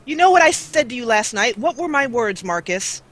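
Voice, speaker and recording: A woman's voice: fairly high pitch, sing-song, moderate pace, fairly bright tone. A woman chatting casually as a guest on a livestream. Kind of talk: casual chat.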